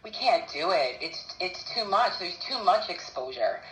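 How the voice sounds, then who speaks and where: A woman's voice: fairly low pitch, big pitch swings, normal speed, fairly bright tone, soft background music. Earnest woman on an echoey video call. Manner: earnest, therapeutic, reassuring. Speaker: caller. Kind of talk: casual video call chat.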